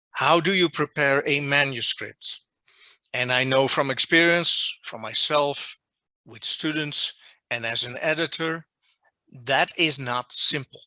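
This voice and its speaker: Dutch male lecturer, non-native English, slow and deliberate, keen bright tone, dry call mic. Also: medium pitch, no echo. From Netherlands. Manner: deliberate, restates his points. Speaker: journal editor presenting a workshop. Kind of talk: online lecture. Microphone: video call microphone.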